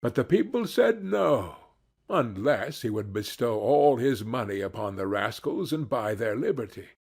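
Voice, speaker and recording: A man narrating a fairy tale from a script in a measured, deliberate storytelling manner. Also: medium pitch, big pitch swings, creaky, a little mellow, slightly rough.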